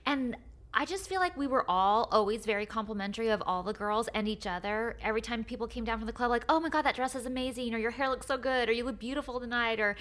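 A middle-aged woman co-hosting a chat podcast, her voice sitting a little high and sounding bright and forceful. She talks in long, animated run-on stretches, restarting her sentences. She laughs often. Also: fast talker. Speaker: podcast co-host. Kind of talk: casual chat recapping a reality show.

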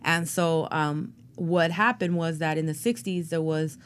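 A woman with a somewhat low voice speaks as a guest on an interview podcast. She is an actor and playwright who talks in a rambling, reflective way. Her speech is full of fillers. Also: fairly even intonation, unhurried. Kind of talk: interview conversation.

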